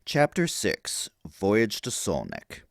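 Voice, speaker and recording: A man delivering audio drama title announcements in a measured style. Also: fairly low pitch, wildly swooping pitch, gravelly, dry recording, studio quality, heavily acted. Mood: solemn.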